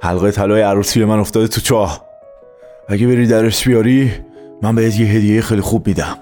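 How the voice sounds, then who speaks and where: A man's voice: on the low side, steady tone, warm tone, faint music behind, lightly acted. A man voicing a panicky, pleading middle-aged uncle in a comic story, with an insistent manner. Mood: secretive, coaxing.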